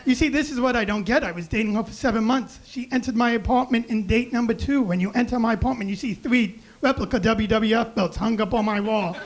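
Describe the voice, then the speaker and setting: A young man with a high, raspy voice doing a self-deprecating deadpan stand-up set on a club stage mic. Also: brisk, hardly any pauses. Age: young adult. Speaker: stand-up comedian. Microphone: handheld stage mic.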